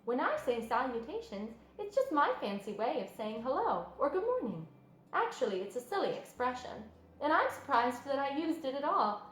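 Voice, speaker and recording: A woman's voice: high-pitched, sing-song, moderate pace, fairly bright tone, reverberant, pitched above the performer's own voice, low-quality recording. A woman voicing a small, kindly female spider in a storybook, refined and friendly, with a thin voice.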